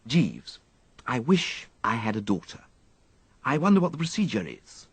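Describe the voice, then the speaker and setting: A man voicing a fretful, flighty young upper-class English gentleman who dithers and exclaims plaintively, in an audiobook story. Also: medium pitch, wildly swooping pitch, normal speed, husky, dry recording, voice pushed up, full character voice. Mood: eager, rambling. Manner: exclamatory, dithering, drawling dandyish complaint.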